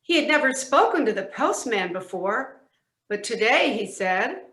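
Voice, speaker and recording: A woman narrating a scripted storybook tale with deliberate, expressive emphasis. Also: fairly high pitch. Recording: echoey room.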